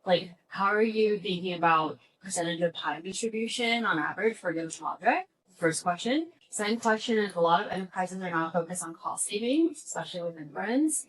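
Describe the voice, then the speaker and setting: A woman's voice: on the low side, animated, a little fast, fairly bright tone. A woman putting orderly questions to a panel at a live event, picked up by a distant mic. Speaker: audience questioner. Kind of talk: structured spoken questions.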